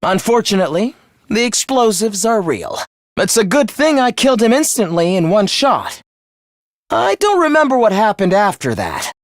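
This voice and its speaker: A man's voice: high voice, big pitch swings, moderate pace, no echo, clean studio sound. A man playing a young student in a video game, earnest on the surface and a cold, misanthropic killer beneath, theatrical and articulate. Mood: cold, calm confession. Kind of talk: dramatic anime-style video game voice acting.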